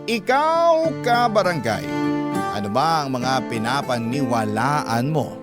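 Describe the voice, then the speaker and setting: A man reading a listener's letter as a radio storyteller, in measured, emphatic scripted narration. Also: mid-range voice, very animated. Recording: prominent music under the voice. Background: music bed.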